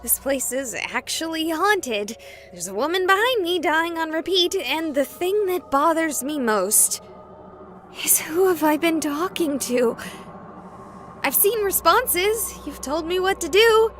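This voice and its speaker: A young woman streamer in a horror game, with a very high, bright voice and a wisecracking, rattled manner. She rambles expressively to her unseen audience, taking her time, over faint music. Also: animated, measured pace, heavily acted. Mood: nervous, joking. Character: excitable young woman streamer exploring a haunted hospital, jokey, rattled. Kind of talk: video game voice acting.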